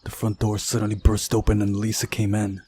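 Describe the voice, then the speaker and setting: Lowish-voiced man narrating a visual novel. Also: monotone, brisk, warm tone, slight background noise. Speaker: playthrough narrator. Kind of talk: reading a visual novel aloud. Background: music.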